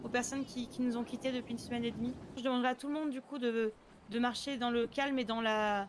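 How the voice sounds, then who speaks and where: A woman playing an earnest young march leader in a roleplay game, speaking haltingly. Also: on the high side, steady tone, lightly acted. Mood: earnest, solemn. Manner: hesitant, halting address. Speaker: online roleplay player. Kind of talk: improvised in-character speech. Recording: noisy game ambience behind.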